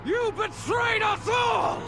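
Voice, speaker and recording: A man's voice: very high-pitched, fairly even intonation, takes it slowly, very noisy, full character voice. An actor playing an anguished, enraged male accuser in a video game, impassioned and full of grief. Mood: anguished rage. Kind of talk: dramatic video game cutscene acting. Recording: loud music behind the voice.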